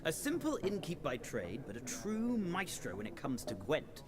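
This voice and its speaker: A man's voice: fairly high pitch, huge pitch swings, moderate pace, crowd noise. A man playing an eloquent, pompous scholarly townsman who loves card games, in video game dialogue. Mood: condescending. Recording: game music behind the voice.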